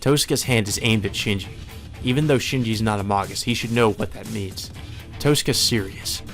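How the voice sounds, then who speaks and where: A man's voice: on the low side, moderate pace, fairly bright tone. A man reading visual novel narration aloud as a let's play host. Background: faint music.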